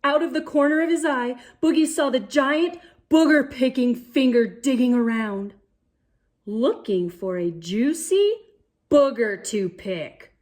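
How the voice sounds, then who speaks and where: A woman storyteller reading aloud for children in an expressive, theatrical way. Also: high voice. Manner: theatrical, deliberate delivery with dramatic gasps and exclamations. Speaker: storyteller, channel host.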